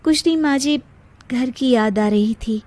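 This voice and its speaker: A woman voicing a cautious young married elder sister who talks in a gentle, scolding way in a cartoon story. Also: high-pitched, measured pace.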